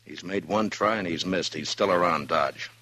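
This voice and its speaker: An actor playing a calm, tough frontier marshal in a 1950s radio drama, dry and clipped. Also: male voice, deep voice, normal speed, no echo. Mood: steady. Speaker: town marshal. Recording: old radio broadcast.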